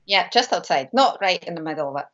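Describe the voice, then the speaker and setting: Latvian-accented woman guest, low voice, hesitant and friendly; lo-fi online call sound. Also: animated, fast, fairly bright tone. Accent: Latvian, with a slight Scottish touch. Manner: hesitant, many ums, friendly. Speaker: guest medium. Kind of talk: casual interview answers.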